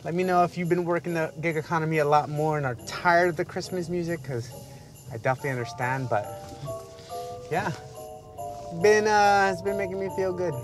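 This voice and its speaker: A middle-aged man talks casually to the camera from inside his parked car, wearing a clip-on mic. His voice sits a little high for a man and stays relaxed and chatty. Music plays clearly underneath. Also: a little fast. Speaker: delivery driver vlogger. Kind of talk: casual vlog narration. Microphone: clip-on lapel mic.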